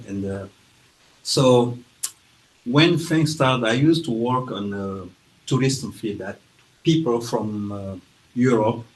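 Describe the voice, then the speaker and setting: A man from Guinea with a French accent, speaking slowly and carefully as an author presenting his book to a library audience, with hesitations and acted-out quotes. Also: lowish voice, rough recording. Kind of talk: informal talk to an audience. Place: library event room.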